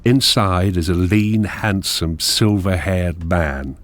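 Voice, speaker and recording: Deep, slow male drama narrator. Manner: measured, descriptive. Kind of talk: scripted screenplay narration in present tense.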